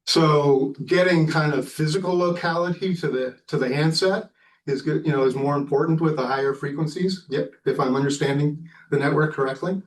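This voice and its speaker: A man's voice: on the high side, steady tone, moderate pace. A man on a city commission asking questions at a public meeting, hesitant, with many fillers. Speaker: commission member. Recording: distant from the mic in a meeting room.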